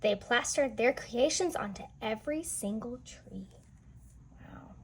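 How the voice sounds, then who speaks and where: A woman's voice: on the high side, lively intonation, a little slow, slight background noise. A woman reading a picture book aloud to young children, explaining and asking questions as she goes. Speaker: children's read-aloud storyteller.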